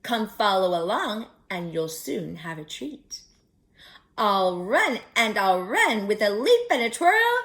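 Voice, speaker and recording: A woman's voice: mid-range voice, sing-song, takes it slowly, fairly bright tone, heavily acted. A woman voicing a boastful, cheeky little gingerbread girl in teasing sing-song rhymes for a storybook.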